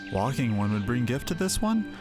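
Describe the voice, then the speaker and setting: A man's voice: medium pitch, very animated, moderate pace, music in the background, natural voice. A man voicing a wary little forest sylph from a fantasy game, with stilted, formal speech. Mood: welcoming, grateful. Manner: stilted, clipped, formal phrasing.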